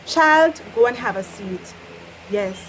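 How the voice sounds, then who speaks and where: A young woman from Jamaica with a Jamaican accent, teaching patois in an animated, laughing casual vlog. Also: a little high, slow pace, slight background noise, low-quality recording. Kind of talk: casual chatty lesson.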